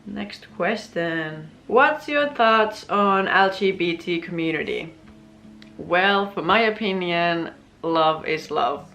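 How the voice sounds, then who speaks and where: A woman's voice: lowish voice, animated, slow, a bit bright, soft background music. A woman in her twenties with a Finnish accent, whose first language is Finnish, chatting casually through a Q&A at home. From Finland. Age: 20s. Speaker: vlogger. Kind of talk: casual Q&A chat. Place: home room with plants, indoors.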